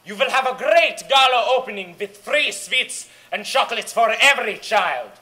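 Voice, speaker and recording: A woman's voice: a little high, lively intonation, moderate pace, bright, forceful tone. A woman playing a tyrannical, gleefully cruel grand witch in a theatrical drama, speaking imperiously in foreign-sounding broken English. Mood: scheming glee. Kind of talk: theatrical villain monologue.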